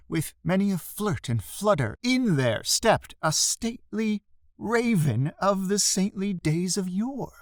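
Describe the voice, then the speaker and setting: A fairly high-voiced man recites classic verse in a theatrical, expressive manner. He stretches emphatic phrases for drama. The sound is dry, with hardly any room around him. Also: no room sound. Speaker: poetry reader.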